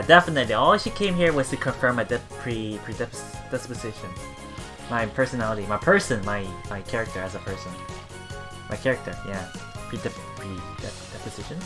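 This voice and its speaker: Casual game streamer reading and reacting aloud, mid-range voice, halting delivery, faint music behind. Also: medium pitch, bright, forceful tone. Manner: halting, stumbles and repeats words. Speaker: let's play host.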